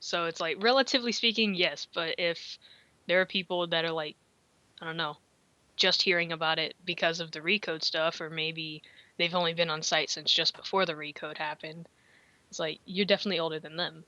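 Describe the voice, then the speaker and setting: Bright, low-pitched woman host, dull mic. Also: fairly even intonation, a little fast, dry recording. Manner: rambling, self-deprecating, laughs often. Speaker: podcast host. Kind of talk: casual chat.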